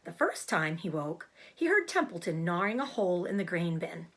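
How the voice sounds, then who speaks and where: A woman reading a children's book aloud like a teacher, narrating expressively and clearly for young listeners. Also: fairly low pitch, moderate pace. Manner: expressive, explains hard words. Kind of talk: storybook read-aloud narration.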